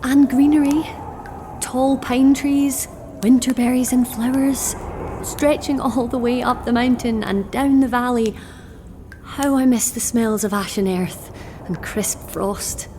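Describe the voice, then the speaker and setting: Brooding, bitter imprisoned goddess. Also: female voice, fairly high pitch, fairly even intonation, slow, background noise. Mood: irritated, yearning. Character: imprisoned immortal goddess daughter, bitter, defiant, yearning. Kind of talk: audio drama acting.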